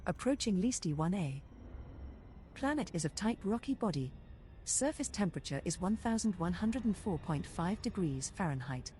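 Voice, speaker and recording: A woman's voice: on the low side, lively intonation, moderate pace, dark tone, lightly acted. A woman voicing a composed spaceship computer assistant, giving clipped, matter-of-fact announcements. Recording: faint background noise.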